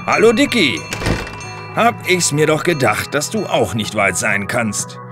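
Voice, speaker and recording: An actor playing a concerned police inspector who cautions young sleuths emphatically in an audio drama. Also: male voice, medium pitch, huge pitch swings, unhurried, over music. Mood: friendly, cautioning.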